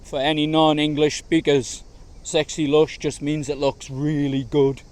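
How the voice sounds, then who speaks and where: Hoarse, chatty man outdoors. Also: fairly high pitch, level tone, measured pace. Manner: chatty, slangy, easygoing. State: slightly hoarse from shouting. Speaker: travel vlogger. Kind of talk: casual walking vlog narration. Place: outdoors in large city gardens, walking in heat.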